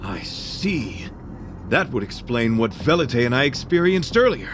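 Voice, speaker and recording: Gallant, theatrical knight, swooping delivery. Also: male voice, medium pitch, sing-song, measured pace, background noise. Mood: measured, formal. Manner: formal, grandiose, florid diction. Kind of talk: video game voice acting.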